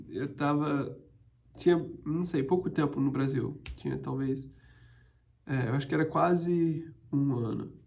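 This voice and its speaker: A young man leads an informal Bible lesson, his voice set a little high and carrying a gravelly fry. He often pauses, restarts and fills gaps with "ah" as he explains the passage. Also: a little mellow. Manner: hesitant, frequent restarts and fillers. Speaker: Bible teacher. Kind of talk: informal Bible study teaching.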